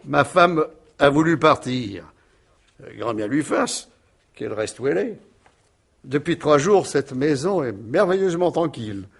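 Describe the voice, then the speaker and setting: Wry, evasive husband in a French radio whodunit. Husky, animated, unruffled irony. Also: male voice, a little high, a little slow, full character voice. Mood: ironic, unbothered. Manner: ironic, nonchalant, evasive. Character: wry, unruffled husband suspected over his missing wife. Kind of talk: French radio mystery play acting.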